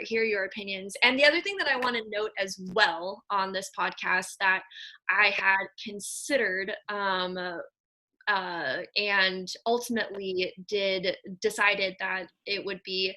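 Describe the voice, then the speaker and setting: A woman's voice: medium pitch, pushed and bright, poor audio. An American woman hosting a podcast, introducing guests in an effusive, affectionate, informal and chatty way. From Nebraska, US. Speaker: podcast host. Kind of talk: casual conversational guest introductions.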